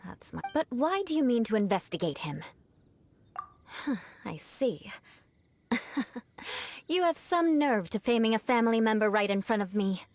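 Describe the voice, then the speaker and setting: A woman's voice: fairly high pitch, big pitch swings, unhurried, long pauses. Proud, vengeful young noblewoman knight for an anime game: formal, composed, lilting and theatrical. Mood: indignant, proud.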